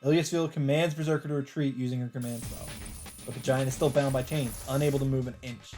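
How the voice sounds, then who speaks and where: A man doing a let's play, reading a visual novel aloud as dramatic narration in halting phrases, with some off-the-cuff reactions. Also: medium pitch, steady tone, a little mellow. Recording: music behind the voice. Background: game soundtrack music.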